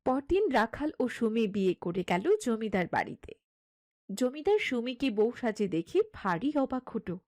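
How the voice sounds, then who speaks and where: A woman's voice: on the high side, sing-song, unhurried, a little mellow, no room sound, clean studio sound. A woman reading scripted narration for a cartoon moral story.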